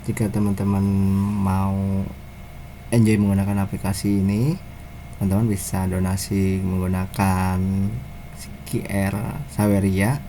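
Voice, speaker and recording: An Indonesian man giving an informal software tutorial in a casual, halting, step-by-step way, as a developer showing his own app. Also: low voice, flat delivery, slight background noise.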